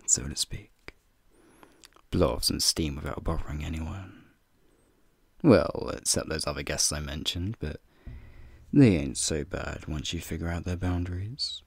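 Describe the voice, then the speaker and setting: A man voicing a flirty, teasing male werewolf in an ASMR roleplay, with a deep, gravelly, husky voice and folksy slang. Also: no echo, exaggerated voice. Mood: flirty, relaxed. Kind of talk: ASMR roleplay, speaking to the listener.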